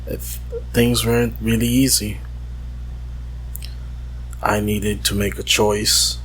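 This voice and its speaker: A man leaves a confessional personal message in a fairly low voice. He speaks very slowly and wistfully, halting often, with faint noise behind him. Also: little pitch movement, fairly bright tone, low-quality recording. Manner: halting, wistful, with long pauses. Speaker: voicemail caller.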